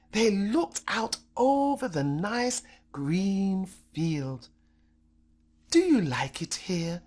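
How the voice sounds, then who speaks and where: A woman reading a children's story as an expressive storyteller, with dramatic, deliberate phrasing. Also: mid-range voice, husky.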